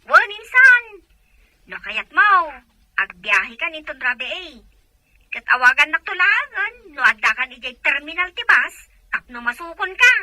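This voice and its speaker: A woman playing an excited, helpful provincial cousin in an Ilocano audio drama. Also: high voice, moderate pace, dry recording, exaggerated voice, low-quality recording. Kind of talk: Filipino radio-drama phone-call dialogue in Ilocano.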